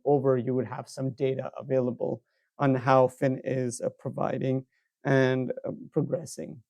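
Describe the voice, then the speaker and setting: A man giving an informal product demo as a presenter, explaining things in a halting way with frequent filler pauses. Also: mid-range voice, mellow, dry recording.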